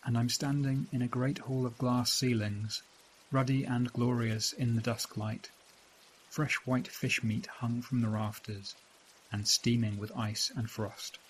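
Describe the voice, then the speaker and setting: A man's voice: mid-range voice, flat delivery, measured pace, lightly acted, low-quality recording. A man playing a wistful, haunted city dweller in a reflective, literary audio drama monologue. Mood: wistful wonder. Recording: hissy recording with clear noise.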